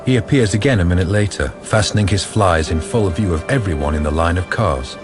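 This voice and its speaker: A man's voice: deep voice, animated, fairly quick, over music. A man narrating a crime radio drama in a dry, scripted storytelling style.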